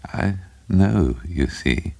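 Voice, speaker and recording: A man's voice: lively intonation, very slow, creaky, dark tone, dry recording, heavily acted. Man playing a breathless, muttering little bearded madman. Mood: conceited, guarded. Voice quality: low, breathless, sighing, bubbling speech impediment. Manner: stammering, muttering to himself, rambling, sly tittering. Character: small bearded madman, conceited, furtive, fascinated by murder.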